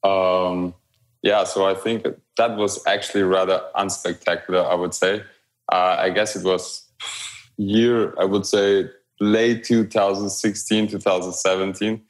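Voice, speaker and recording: A young man, a guest in a remote interview, speaking in a relaxed, hesitant way with fillers and restarts. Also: low voice, measured pace. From Romania. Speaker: guest, blockchain company growth lead.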